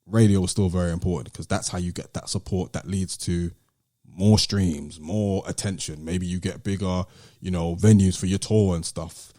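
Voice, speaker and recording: A British man hosts a casual podcast in a low, very mellow voice. The sound is close and dry. He explains things step by step, in a conversational way. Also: steady tone, moderate pace, no echo. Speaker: podcast host.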